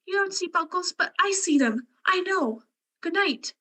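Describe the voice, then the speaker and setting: Radio drama role for a woman: timid young country sweetheart, very high and bright, devoted and superstitious. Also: animated, a little slow, full character voice. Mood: frightened. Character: shy, adoring young country girl in love, superstitious. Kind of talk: radio play acting.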